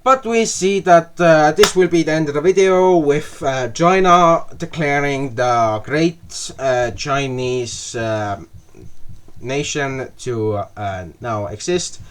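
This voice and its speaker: A young man casually narrating a map video, halting often with fillers and restarting words as he goes. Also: on the high side, slight background noise. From half Russian. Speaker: mapping video creator.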